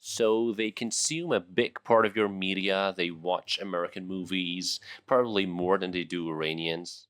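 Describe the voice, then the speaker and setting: Iranian male host, Persian native, low voice, dry studio re-record. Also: a bit bright, dry recording. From Iran. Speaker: English teacher and host. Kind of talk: casual interview.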